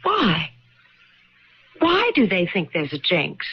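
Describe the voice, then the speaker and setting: A woman's voice: fairly low pitch, wildly swooping pitch, slow, slightly rough, heavily acted. A woman playing a suspicious young wife who questions her husband insistently in an old-style radio drama. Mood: suspicious, pressing. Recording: dull lo-fi old radio broadcast.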